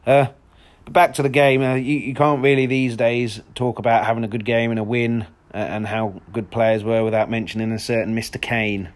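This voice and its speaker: A man with a hoarse voice, hosting a solo football podcast in a rambling, casual way with lots of restarts. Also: mid-range voice, level tone, fairly quick, few pauses. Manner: rambling, frequent restarts and fillers.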